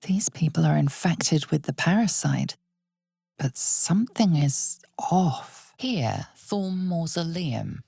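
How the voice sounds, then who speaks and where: Low, husky, ominous fantasy game narrator. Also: female voice, lively intonation, warm tone, dry recording, studio quality, full character voice. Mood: hushed, mysterious. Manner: slow, deliberate, ominous. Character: omniscient female narrator of a dark fantasy game, grave and knowing. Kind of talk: dramatic second-person fantasy game narration.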